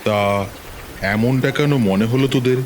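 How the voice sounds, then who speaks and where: A man's voice: medium pitch, background noise, natural voice. A man playing a self-assured middle-aged village tantric, telling a horror tale dramatically in a West Bengal accent. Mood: confident, teasing. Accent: Bengali from West Bengal, India. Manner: boastful, dramatic, unhurried storytelling. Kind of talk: dramatized horror story narration.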